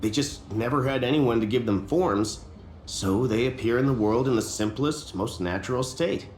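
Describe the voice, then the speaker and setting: An actor playing a gentle, helpful local in an anime game, explaining things reassuringly. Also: male voice, mid-range voice, normal speed, slight background noise, lightly acted. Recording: roomy game audio with light music.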